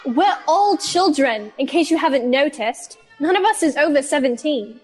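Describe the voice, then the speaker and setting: A woman's voice: high voice, lively intonation, normal speed, soft background music. A young woman playing a sarcastic, grumbling teenage girl in a sci-fi radio play, blunt and sardonic. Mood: irritated.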